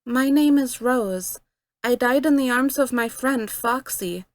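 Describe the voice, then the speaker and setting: A woman's voice: fairly high pitch, unhurried, dry recording, pitched above the performer's own voice, natural voice. An earnest, friendly ghost girl living inside an animatronic fox , played by a woman reading aloud. Her voice is raised and youthful, with a dry, close sound. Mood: sorrowful.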